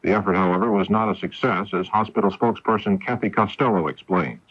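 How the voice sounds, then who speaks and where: An American man anchoring a scripted radio newscast in a measured, formal way. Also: deep voice, steady tone, slight background noise. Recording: muffled 1980s AM radio broadcast.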